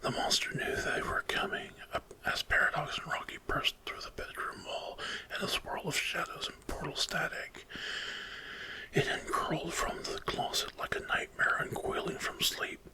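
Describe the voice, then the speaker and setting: A man narrating a dramatic story in a hushed, breathy whisper, in ASMR style. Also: poor audio. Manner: slow, dramatic, hushed reading.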